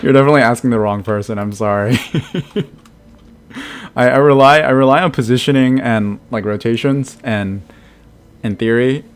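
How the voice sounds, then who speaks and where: A man's voice: mid-range voice, moderate pace, smooth. An American man in his thirties, chatting casually while streaming a game and answering his chat, laughing often. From United States. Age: 30s. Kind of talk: casual live-stream commentary.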